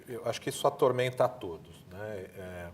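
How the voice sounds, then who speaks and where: A man's voice: fairly low pitch, normal speed, slight background noise. A man with a Brazilian accent speaking as a panelist, often adding little tag questions to his points. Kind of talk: panel discussion.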